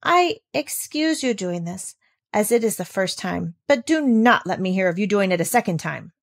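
A woman's voice: mid-range voice, expressive, moderate pace, gravelly. A woman reading a storybook as a stern, indignant housekeeper who scolds in formal, severe phrases. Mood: strict warning.

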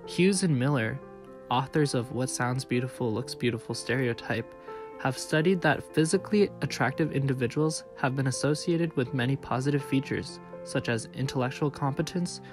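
A young man narrates a student documentary in a level, read-aloud manner. His pitch is mid-range for a man. Guitar music plays clearly beneath the narration. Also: moderate pace. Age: young adult. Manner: even, scripted reading. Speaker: narrator and interviewer.

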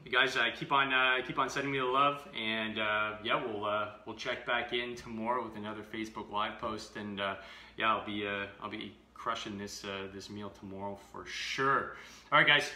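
A man in his thirties walks through a recipe in a relaxed live-stream style, full of "uh" and "you know." His voice sits fairly low yet sounds bright and forceful. The home kitchen around him leaves a roomy echo on a camera mic set a few feet back. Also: moderate pace. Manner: chatty, filler-heavy, upbeat. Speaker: fitness vlogger. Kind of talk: casual live-stream demo. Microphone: camera mic from a few feet away.

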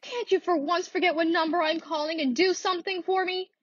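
A woman's voice: very high-pitched, fairly even intonation, fairly quick, crowd noise. A woman playing a nervous, imperious married invalid in a radio thriller, insistent and easily exasperated. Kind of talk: classic radio thriller acting. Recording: dull, lo-fi dry audio.

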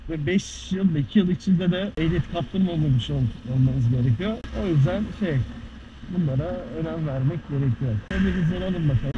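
A man's voice: on the high side, normal speed. Chatty man riding a scooter through traffic. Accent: Istanbul Turkish. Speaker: motovlogger. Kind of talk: casual riding commentary. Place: on a scooter in city traffic, outdoors. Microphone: helmet-mounted action camera. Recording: muffled action-camera audio with faint traffic noise.

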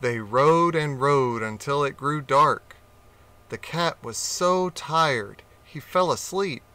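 A man reading a children's animal story aloud in a slow, gentle, expressive way. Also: on the high side. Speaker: audiobook reader.

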